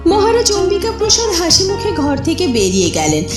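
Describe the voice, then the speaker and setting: A woman's voice: very high voice, huge pitch swings, brisk. A woman narrating a scripted cartoon fairy tale as a storyteller. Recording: echoey, music underneath.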